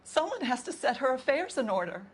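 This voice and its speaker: A woman's voice: high voice, very animated, a little fast, breathy edge, exaggerated voice. A woman playing a nervous housekeeper questioned by police in a video game, talking hesitantly and stammering. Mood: anxious. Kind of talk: video game interrogation scene.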